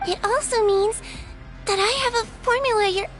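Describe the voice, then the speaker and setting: Tiny nurse, very high sweet voice, calm and clinical, faint music behind. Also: female voice, moderate pace, poor audio. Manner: gentle and composed, clinical. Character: small kindly nonhuman head nurse, calm and clever. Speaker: head nurse. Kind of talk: video game voice acting.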